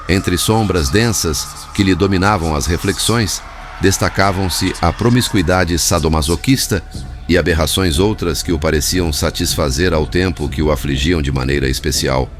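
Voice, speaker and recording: A man reading a spiritual book as a formal, literary scripted narration. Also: deep voice. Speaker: audiobook narrator.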